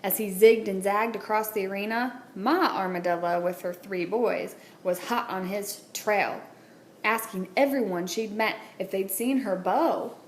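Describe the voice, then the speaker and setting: A woman's voice: medium pitch, fairly even intonation, unhurried. Woman reading a storybook, echoey room. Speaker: teacher reading aloud. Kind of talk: children's storybook read-aloud. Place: indoors at a wooden table, book on camera. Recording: roomy echo, clear background noise.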